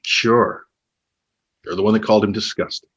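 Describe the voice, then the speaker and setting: A man playing a probing, cynical former literary agent in a naturalistic two-man play, pointed and halting, with a husky voice. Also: on the low side, steady tone, fairly bright tone, lo-fi sound. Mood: needling. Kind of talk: naturalistic stage dialogue.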